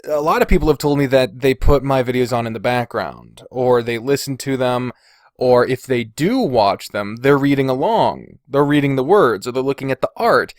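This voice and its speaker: A man's voice: mid-range voice, moderate pace, no room sound, studio quality. A man chatting casually as a solo podcast host, self-deprecating and sweary, wandering off on digressions, recorded indoors on a USB microphone. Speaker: podcast host and video creator. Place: indoors in a bedroom. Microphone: Blue Snowball omnidirectional USB microphone.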